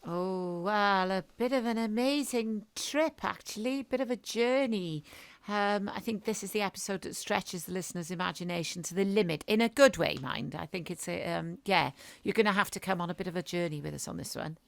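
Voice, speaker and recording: A woman's voice: medium pitch, brisk, gravelly, no echo. A woman actor chatting informally about a drama series. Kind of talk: casual chat.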